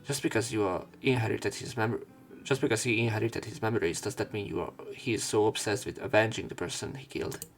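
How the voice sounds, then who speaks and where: Low-voiced man narrating a visual novel. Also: fast, hardly any pauses, soft background music. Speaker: let's play narrator. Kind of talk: reading visual novel text aloud with commentary.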